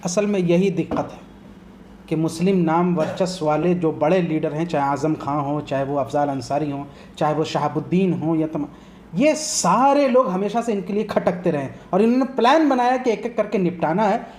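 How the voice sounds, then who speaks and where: A man's voice: on the high side, normal speed, darkish tone, a little hiss or hum. A man arguing as a political commentator in a heated TV debate, impassioned and combative, firing off rhetorical questions.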